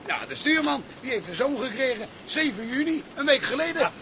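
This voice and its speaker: An actor playing a hearty, teasing and reassuring shipmate at sea in a radio drama. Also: male voice, extremely high pitch, expressive, a little fast, a loud crowd. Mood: eager.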